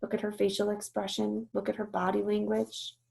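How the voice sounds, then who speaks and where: A woman's voice: medium pitch, completely flat delivery, fairly quick, vocal fry, a little mellow. A woman teacher reading a storybook aloud and explaining it, instructive and often checking that listeners understand.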